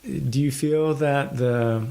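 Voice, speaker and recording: A woman podcast host with a very low, deep voice and a gravelly, fry-laden texture. She asks curious, playful follow-up questions in a relaxed interview. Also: slow. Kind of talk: casual interview.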